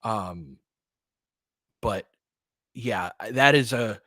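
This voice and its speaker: A man giving casual, analytical basketball commentary off the cuff, thinking aloud in a halting, conversational way. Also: on the low side, sharp tone, no room sound. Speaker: audio play-by-play host and basketball analyst. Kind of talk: casual off-the-cuff sports commentary, answering listeners.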